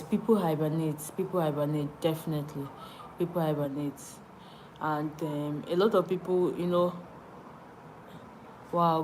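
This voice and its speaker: A woman's voice: sits low, darkish tone, slight background noise, rough recording. A young Nigerian woman with a Nigerian accent, chatting casually on a live stream, reading viewers' comments aloud and answering them, often repeating phrases as she thinks.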